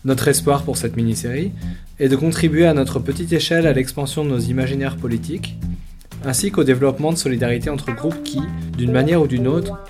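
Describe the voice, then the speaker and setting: A man's voice: mid-range voice, brisk, music in the background. A man hosting a podcast interview, introducing his guest with frequent fillers. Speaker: podcast host.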